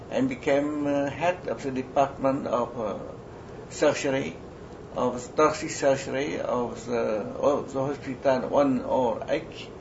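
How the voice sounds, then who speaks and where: An elderly man with a Vietnamese accent, whose first language is French, recalls his life in an oral history interview, speaking haltingly with many restarts and fillers. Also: mid-range voice, creaky. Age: older. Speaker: interviewee, retired army surgeon. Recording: lo-fi noisy recording.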